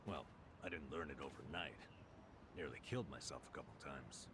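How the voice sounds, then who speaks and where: Scruffy down-and-out forager, deep voice, laid-back, wry, video game dialogue, clear background noise. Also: male voice, a little fast. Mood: wry, easygoing. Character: scruffy, good-humoured down-and-out man who forages weeds.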